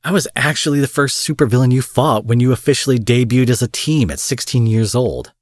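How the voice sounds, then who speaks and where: A man acting out a cocky, foul-mouthed young supervillain in an audio roleplay, husky, mocking and possessive, taunting his captive with drawled pet names. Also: medium pitch, expressive, fairly quick, no room sound, studio quality, close to an everyday voice. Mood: mocking, insistent. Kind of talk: dramatic roleplay monologue addressed to the listener.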